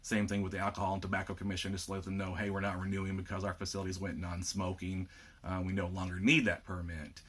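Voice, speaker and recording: A man with a slightly rough voice, giving a casual video update to lodge officers, plainspoken with frequent fillers. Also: deep voice, completely flat delivery, quick pace, a bit bright. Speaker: state lodge administrator giving members an update.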